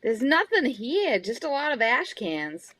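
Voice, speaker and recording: Woman doing plain-talking elevator guy, reluctant, high n bouncy voice, dry radio play read. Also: sing-song, unhurried, fairly bright tone, dry recording, exaggerated voice, rough recording. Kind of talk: live reading of old-time radio mystery script.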